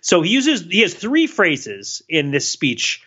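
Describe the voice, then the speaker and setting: A man's voice: sits high, wildly swooping pitch, slow, bright, forceful tone, slightly rough, lo-fi sound. A man co-hosting a podcast, talking through ideas in a thoughtful, casual way with many fillers and restarts. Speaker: podcast co-host. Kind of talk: casual analytical chat.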